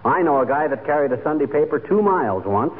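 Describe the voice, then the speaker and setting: An actor playing a boastful, lazy husband with an American accent, wisecracking folksily in 1940s radio comedy. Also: male voice, fairly high pitch, expressive, fast, close to an everyday voice. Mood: blustery boasting. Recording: tinny, noisy old radio recording.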